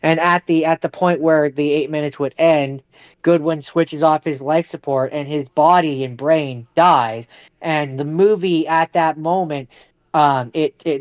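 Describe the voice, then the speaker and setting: A man's voice: fairly high pitch, darkish tone, dry recording. Man explaining films, slightly muffled audio. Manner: explanatory, repeats points. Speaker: podcast co-host. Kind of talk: casual film discussion.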